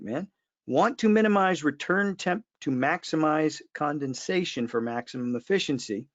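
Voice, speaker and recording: A man with a fairly high voice hosts a loose, casual live chat over muffled online audio. He reads viewer comments in an informal way, often doubling back to repeat a phrase. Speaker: host of a trade webinar. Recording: dull lo-fi online call audio.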